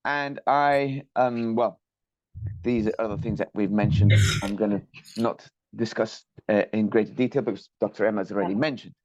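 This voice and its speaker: A man from Colombia, giving a measured, polite academic lecture over a video call. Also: on the low side, steady tone, darkish tone, no echo. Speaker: guest lecturer, structural engineer. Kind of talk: online lecture presentation. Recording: dull, dry video call audio.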